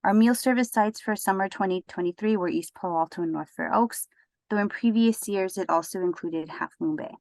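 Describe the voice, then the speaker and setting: A woman's voice: medium pitch, brisk, a little mellow, no echo, rough recording. A woman branch manager giving a webinar presentation, reading steadily from her slides.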